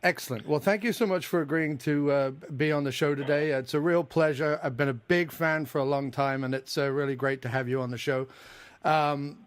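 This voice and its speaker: Polite English podcast host. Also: male voice, a little high, fairly even intonation, a little fast, smooth. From England. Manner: polite, eager, apologetic. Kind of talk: interview hosting.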